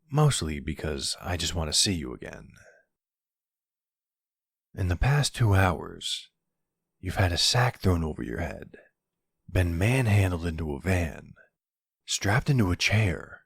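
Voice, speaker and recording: Man playing a gravelly, very low-voiced mafia boss. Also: no echo, clean studio sound, exaggerated voice. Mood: flirtatious, amused, then calm, admiring.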